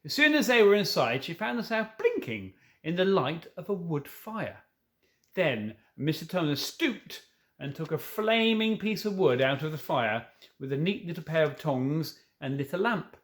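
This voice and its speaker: A man's voice: on the high side, sing-song, moderate pace, fairly bright tone. A man reading a children's novel aloud, stumbling now and then and correcting himself, with informal asides. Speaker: storybook reader.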